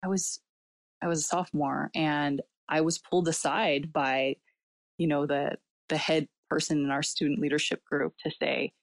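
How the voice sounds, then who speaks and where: A woman with a low voice whose first language was Cantonese tells her story as an interview guest. She talks reflectively, circling with fillers and laughing often. The sound is dry and close. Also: fairly even intonation, moderate pace, dry recording. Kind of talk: casual personal interview talk.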